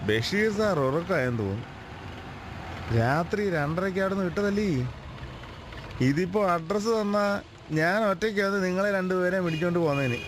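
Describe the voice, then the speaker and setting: An actor playing a talkative, familiar driver with a chatty, colloquial manner in a radio drama. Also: male voice, sits high, lively intonation, brisk, pauses often. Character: talkative, familiar male driver, irritable on the road. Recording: vehicle noise behind voice. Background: moving vehicle ambience.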